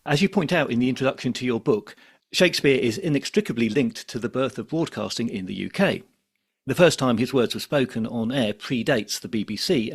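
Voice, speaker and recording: Male podcast host, British accent, mid-range voice with a creaky edge, moving between narration and interview. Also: medium pitch. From United Kingdom. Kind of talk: scripted narration and interview questions.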